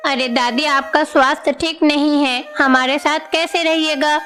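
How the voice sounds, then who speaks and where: Woman voicing a high-pitched, earnest little boy. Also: fairly even intonation, moderate pace, fairly bright tone, faint music behind. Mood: polite, explaining. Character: young boy god disguised as orphan, earnest and caring. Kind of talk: devotional animated story dialogue.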